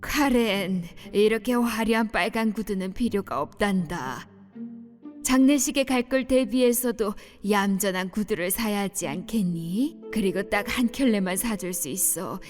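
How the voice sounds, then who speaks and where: A woman voicing a gentle, scolding older female guardian in a cartoon, soft and coaxing, over background music. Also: medium pitch, a little slow, natural voice.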